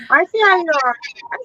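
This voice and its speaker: Co-host: woman, high bright voice, teasing and playful. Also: no echo, muffled recording. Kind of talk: casual group chat.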